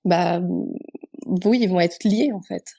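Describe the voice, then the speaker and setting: Mellow-voiced woman hosting. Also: fairly low pitch, slow, vocal fry. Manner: hesitant, searching questions. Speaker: podcast host. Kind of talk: casual interview questions.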